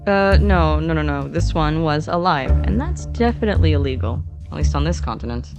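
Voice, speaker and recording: A woman with a fairly low voice tells a story as one of two podcast narrators. Her delivery is scripted yet conversational, playful and dryly informal, and music plays clearly underneath. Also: lively intonation, brisk. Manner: playful, informal, dry asides.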